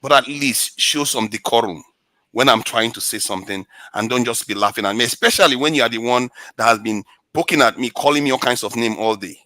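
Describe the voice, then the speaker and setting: A man's voice: medium pitch, brisk, pushed and bright, no room sound. A male anchor and commentator on an online panel, talking in an aggrieved, insistent way. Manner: aggrieved, insistent, stressing words.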